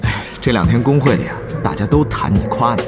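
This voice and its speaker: An actor playing an excitable, easily exasperated but sociable young male guild leader in an audio drama. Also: mid-range voice, fast, loud music behind the voice, natural voice. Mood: placating. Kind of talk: Chinese audio drama acting.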